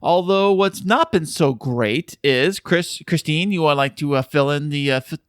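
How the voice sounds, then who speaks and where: A man's voice: fairly high pitch, normal speed, clean tone, no room sound, clean studio sound. A man co-hosting a podcast in casual chat, with a joking, playful manner. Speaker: podcast co-host.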